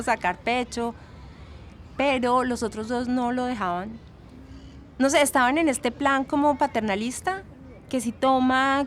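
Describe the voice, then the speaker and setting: An actress playing a chatty former student with a Latin American Spanish accent, giving gossipy, fondly exasperated testimony in an audio drama. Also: female voice, fairly high pitch, a little hiss or hum, lightly acted. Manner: gossipy, emphatic, sprinkles in English words.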